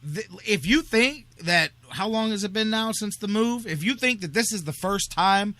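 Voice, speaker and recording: This is a man hosting sports talk with a bright, punchy edge to his voice. He sits somewhat higher than most men. His delivery is opinionated and emphatic, circling back on phrases to drive them home. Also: lively intonation, moderate pace. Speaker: sports radio host.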